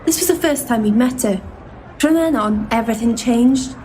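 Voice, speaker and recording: A woman playing a forthright assistant at a paranormal agency in an audio play. Her voice is high and expressive, with a caring streak. Slight noise sits behind it. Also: normal speed, natural voice, rough recording. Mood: wistfully narrating. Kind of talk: comedic audio drama acting and narration.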